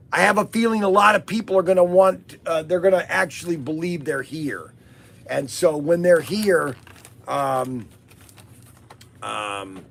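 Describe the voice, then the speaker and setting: A man hosts a relaxed live podcast, his voice hoarse and gravelly from strain. He sits a little higher than most men and talks in loose, rambling stretches, stalling on fillers and starting sentences over. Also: slight background noise. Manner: rambling with many fillers and restarts. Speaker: host of a toy business live podcast. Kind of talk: casual livestream storytelling.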